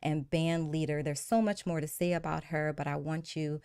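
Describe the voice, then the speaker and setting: A woman hosting a live-streamed podcast, warmly introducing a guest and reading her bio with enthusiasm. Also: low-pitched, flat delivery, moderate pace, clear, resonant tone, no room sound, studio quality. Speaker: podcast host.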